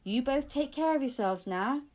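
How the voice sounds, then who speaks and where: A woman voicing a kindly, reassuring female paramedic in a storybook, comforting and gently brisk. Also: high voice, animated, voice pushed up. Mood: caring.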